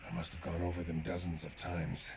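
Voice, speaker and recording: An actor playing a grave, devoted warrior in a sci-fi video game, speaking in an earnest, measured way. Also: male voice, sits low, completely flat delivery, creaky, background noise. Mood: troubled, solemn. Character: grave, devoted male sci-fi warrior grieving lost comrade. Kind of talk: video game quest dialogue.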